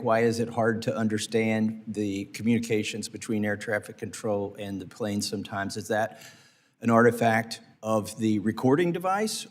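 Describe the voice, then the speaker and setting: A congressman questioning witnesses at a committee hearing, halting with restarts and fillers. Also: on the low side, big-room sound. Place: hearing room.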